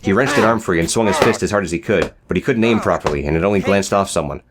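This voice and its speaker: A man's voice: low-pitched, fast, very noisy. A man narrating a scripted, dramatic story as an audiobook reader.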